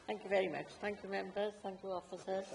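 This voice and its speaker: A woman chairing a council meeting, formal and brisk. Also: mid-range voice, flat delivery, creaky, noisy background. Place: council chamber.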